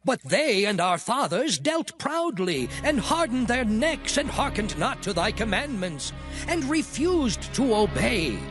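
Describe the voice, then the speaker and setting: An actor playing a Levite who leads the people in fervent public prayer, reverent and declamatory, in a dramatized scripture reading. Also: male voice, extremely high pitch, big pitch swings, unhurried, full character voice. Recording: music behind.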